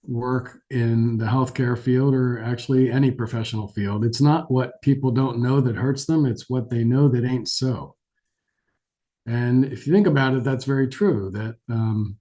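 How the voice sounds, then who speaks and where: A man with a scratchy voice from a cold delivers a webinar lecture. His tone is mellow and soft-edged, at a middling pitch. He talks conversationally and somewhat hesitantly, in a self-deprecating way, as a doctor addressing learners. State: has a cold. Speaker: geriatric physician giving a lecture.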